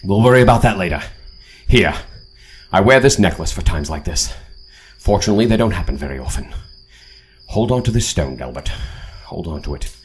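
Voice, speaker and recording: An actor playing a calm, authoritative older leader of a secret team in an audio drama, speaking in a measured way. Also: male voice, low voice, slight background noise. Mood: urgent.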